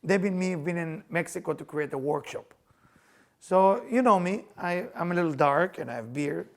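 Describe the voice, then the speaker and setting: A middle-aged man with an Israeli accent, hosting a casual chat and telling personal stories in a playful, animated way, often laughing as he talks. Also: a little high, moderate pace, gravelly. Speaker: spiritual teacher and podcast host.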